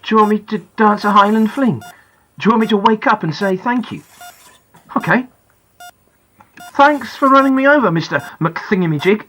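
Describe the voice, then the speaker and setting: A woman's voice: mid-range voice, fairly quick, lightly acted, rough recording. A woman playing a candid young boy who narrates his thoughts in a first-person audiobook, in plain, childlike speech. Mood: angry, sarcastic.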